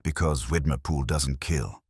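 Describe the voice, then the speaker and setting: A man's voice: a bass voice, normal speed, a little mellow, breathy edge, dry recording. Man reading as a dry, mild police inspector.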